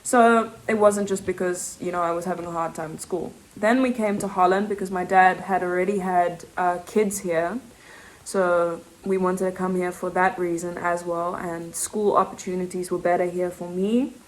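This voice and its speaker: A young woman with a South African accent that leans British, talking fast and rambling in a casual, confessional vlog, breaking off with laughs. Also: fairly low pitch, steady tone, rough recording. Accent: South African English with a British touch. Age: young adult. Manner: fast, rambling, self-interrupting, laughs often. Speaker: vlogger. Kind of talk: casual confessional chat to camera.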